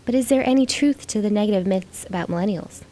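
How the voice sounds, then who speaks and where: A woman's voice: medium pitch, quick pace, mellow. An American woman reading scripted narration as a student reporter.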